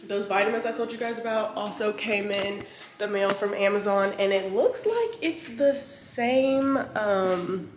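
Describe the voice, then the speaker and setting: A woman's voice: medium pitch, unhurried. A woman in her thirties chatting casually to camera as a lifestyle vlogger, rambling with lots of fillers, her throat a bit scratchy. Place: large bright home kitchen. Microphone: camera on a counter, mid-shot. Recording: echoey kitchen with faint room noise.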